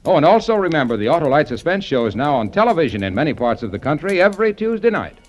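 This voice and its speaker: A male pitchman delivers an old-time radio commercial with bouncy, emphatic salesmanship. His slightly high voice swings lively up and down, with faint music behind. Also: quick pace, dull audio. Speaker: commercial announcer. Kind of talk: 1950s radio sponsor commercial.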